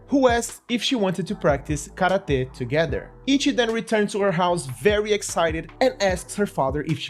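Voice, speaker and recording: A man with a Brazilian accent narrating a scripted review with enthusiasm and playful, mock-dramatic asides. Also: high-pitched. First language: Portuguese. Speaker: manga review host. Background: faint background music.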